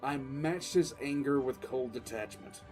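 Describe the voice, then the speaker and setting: A man playing a weary, cool-headed military commissar, recounting his past in dramatic, deliberate first-person narration over faint music. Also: mid-range voice, a little mellow, lightly acted. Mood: grave, detached. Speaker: narrator and lead voice actor. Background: faint background music.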